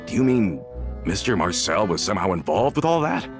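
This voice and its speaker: An actor playing an earnest, loyal member of a gang in a video game, speaking in a questioning, sincere manner. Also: male voice, sits low, big pitch swings, fairly quick, over music. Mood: curious, friendly. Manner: earnest, questioning, hesitant when shocked. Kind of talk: video game character dialogue.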